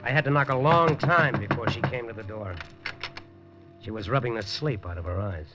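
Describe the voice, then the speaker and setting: A man's voice: medium pitch, moderate pace, noisy background, close to an everyday voice. An actor playing a hard-boiled, wisecracking newspaper reporter who narrates his own story in a 1950s radio drama. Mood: narrating. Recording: dull old radio broadcast with music.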